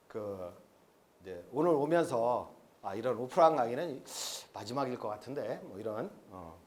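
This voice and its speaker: Man in his fifties lecturing with a lively voice. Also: mid-range voice, a little slow. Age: 50s. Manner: frank, rambling, with many "uh" fillers. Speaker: seminar lecturer. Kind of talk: live seminar lecture to an audience. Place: expo seminar hall.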